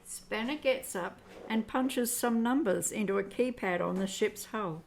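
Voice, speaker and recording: A woman's voice: mid-range voice, a little slow, vocal fry. A woman reading scripted narration for a science fiction audio drama.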